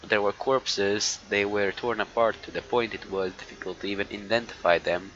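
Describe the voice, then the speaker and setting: Low-voiced male streamer reading on a dull mic. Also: steady tone, background noise. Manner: halting reading broken by muttered asides. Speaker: live streamer. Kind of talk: reading a visual novel aloud with casual reactions.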